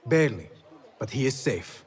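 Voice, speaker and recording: A man playing a young, earnest apprentice assassin in a video game, speaking politely and formally in measured phrases. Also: medium pitch, lively intonation, natural voice. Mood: guarded, cautious. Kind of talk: cinematic game dialogue.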